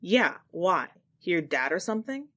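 A woman's voice: lowish voice, very animated, normal speed, fairly bright tone. Curt young male store clerk woman reading. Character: terse, guarded young male gas station clerk.

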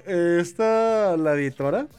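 A man with a Mexican Spanish accent hosting a casual podcast chat, excitable and laughing, swearing freely. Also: sits high, a little slow, clean tone, faint music behind. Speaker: podcast host.

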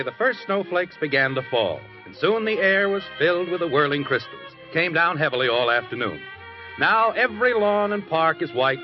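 An American actor playing a folksy storytelling narrator in a scripted 1950s radio sitcom. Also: male voice, fairly high pitch. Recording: muffled 1950s radio recording.

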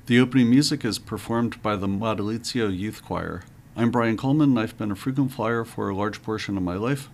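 Man from the US, low voice, relaxed, friendly, earnest podcast host. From United States. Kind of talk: casual podcast chat.

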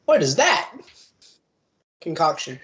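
A man's voice: a little high, very animated, slow, a bit bright. A man co-hosting a casual podcast, laid-back and self-mocking, laughing a lot. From United States. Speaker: podcast co-host. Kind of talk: casual chat.

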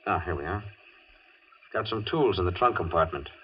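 Deep-voiced menacing killer, vintage radio. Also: male voice, expressive, normal speed. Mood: firm, suspicious. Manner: clipped, offhand tough-guy menace. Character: menacing male killer driver, coolly casual. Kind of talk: 1940s radio thriller acting. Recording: muffled old radio broadcast, faint noise.

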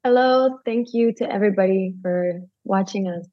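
A woman speaking thoughtfully as a podcast guest, pausing to find her words, heard over a dull, dry remote line. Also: mid-range voice. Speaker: nonprofit director. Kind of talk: podcast interview.

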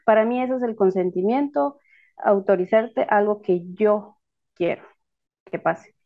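A woman's voice: medium pitch, unhurried, phone-quality sound. A woman in her twenties from Guatemala with a Guatemalan Spanish accent, an outgoing guest in a group discussion. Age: 20s. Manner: outgoing, upbeat.

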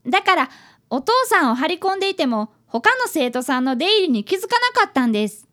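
A woman's voice: high-pitched, big pitch swings, quick pace, pushed and bright, exaggerated voice. A woman voicing an earnest, polite fifth-grade boy apprentice detective in a radio drama. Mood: earnest, gentle. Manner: eager, polite.

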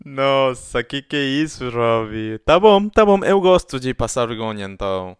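Slow Argentine man speaking Portuguese. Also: mid-range voice, animated, clean tone, no echo, clean studio sound. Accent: Argentine Spanish. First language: Spanish. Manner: halting, searching for words. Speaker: podcast co-host. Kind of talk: casual chat.

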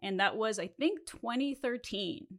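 A woman's voice: on the high side, lively intonation, unhurried, a bit bright. A woman in her thirties from Mexico, whose first language is Spanish, telling a candid story as a podcast host with laughs between phrases.

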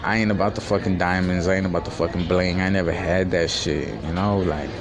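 Brief: young man, very low voice, streetwise and profane, boastful, restaurant crowd chatter behind. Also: fairly even intonation, fairly quick. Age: 20s. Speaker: podcast host. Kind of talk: casual solo podcast monologue. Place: fast-food restaurant.

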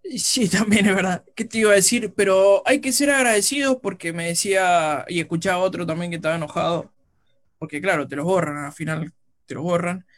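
A man co-hosts a casual radio conversation. His voice sits fairly high, and he talks eagerly, often cutting in. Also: a little fast, fairly bright tone. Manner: eager, interjecting. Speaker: radio co-host. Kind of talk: casual chat.

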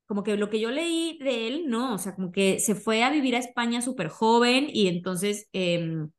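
A woman's voice: a little high, animated, normal speed. A young woman with a Mexican accent telling stories in a casual, chatty, gossipy way as a podcast host. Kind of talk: casual conversational storytelling.

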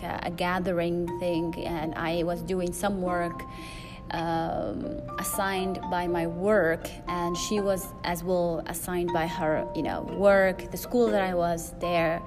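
Loud-voiced woman podcaster over music. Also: lowish voice. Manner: rambling, frequent fillers and restarts. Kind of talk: solo podcast monologue. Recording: music playing behind.

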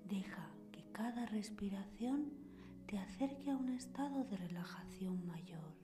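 A woman host leading a scripted guided sleep meditation in a soothing, breathy whisper, with pauses between short phrases. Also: mid-range voice, lively intonation. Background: soft meditation music with singing bowls.

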